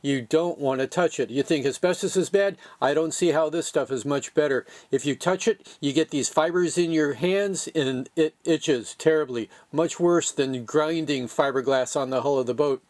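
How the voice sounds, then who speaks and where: A man's voice: a little high, moderate pace, few pauses, darkish tone. An older man giving a casual, plain-spoken how-to narration in a matter-of-fact way. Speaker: sailor demonstrating boat engine repair.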